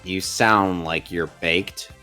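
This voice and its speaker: A man's voice: sits low, steady tone, takes it slowly, bright, forceful tone, soft background music. A young man on a casual gaming stream, dryly teasing his friends while narrating his play. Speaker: podcast co-host and gamer.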